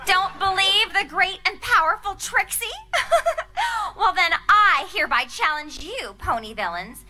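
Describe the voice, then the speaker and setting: A woman's voice: very high-pitched, lively intonation, measured pace, bright, forceful tone, faint music behind, heavily acted. A woman voicing a boastful young female unicorn stage magician who grandly talks about herself in the third person, in a live cartoon script reading.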